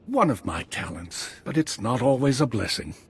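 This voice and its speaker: Husky, animated Jedi mentor. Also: male voice, mid-range voice, moderate pace, full character voice. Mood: somber, resolute. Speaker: Jedi master guiding a student. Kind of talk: scripted video game dialogue.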